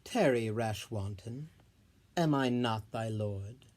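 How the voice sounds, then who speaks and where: A solo reader voicing a proud, jealous fairy king with imperious, measured theatrical delivery. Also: medium pitch, a little mellow, close to an everyday voice. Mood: stern, accusing. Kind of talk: solo Shakespeare verse reading.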